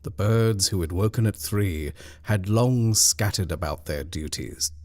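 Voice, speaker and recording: A man reading a scripted ghost story in a measured, dramatic storyteller's manner. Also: on the low side, a little mellow. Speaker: story reader.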